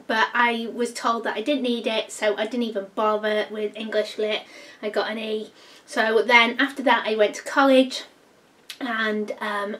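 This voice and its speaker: A woman talks in a relaxed, rambling way and fills pauses with ums. Her voice sits fairly high. The mic is set a little way off. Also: far from the mic. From North Wales. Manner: chatty, rambling, many ums. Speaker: vlogger. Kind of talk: casual personal Q&A vlog.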